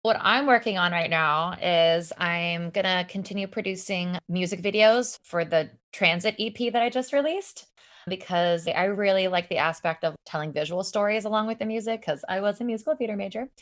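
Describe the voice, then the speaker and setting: A woman's voice: medium pitch, moderate pace, a bit bright. A woman in her thirties, a singer and bandleader, chatting casually and eagerly as a podcast guest, with many fillers. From Puerto Rico. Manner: enthusiastic, many "like" fillers, occasional restarts. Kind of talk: casual interview chat.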